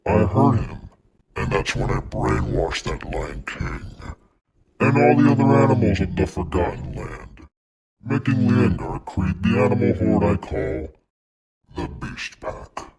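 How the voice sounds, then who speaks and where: A man voicing a menacing, vengeful alien mastermind with a gravelly growl, delivering theatrical, taunting villain monologues. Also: low-pitched, animated, unhurried, a crowd in the background. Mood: gloating. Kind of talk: fan character voice clips, dramatic monologue.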